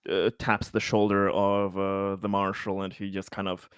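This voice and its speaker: A man's voice: deep voice, monotone, moderate pace, fairly bright tone, clean tone, no room sound, studio quality. A man talking casually as a player in a tabletop game, describing what his character does.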